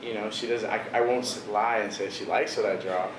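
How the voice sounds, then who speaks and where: A man's voice: fairly low pitch, steady tone, brisk. A young man from the Washington DC area, a visual artist chatting casually as an interview guest, laid-back and full of "bro" and "you know". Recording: echoey room with noticeable background noise.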